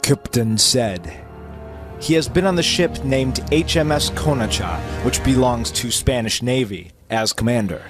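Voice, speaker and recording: A man's voice: medium pitch, animated, measured pace. A man narrating an amateur pirate story as a theatrical dramatic reading. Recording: music playing behind the voice. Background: music.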